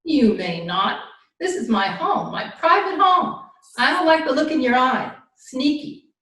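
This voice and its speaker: A woman's voice: high-pitched, lively intonation, measured pace, fairly bright tone. A woman playing a suspicious, indignant old spinster landlady in an old-time radio detective drama. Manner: nosy, curt, indignant, folksy grammar. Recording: roomy remote call, distant from mic.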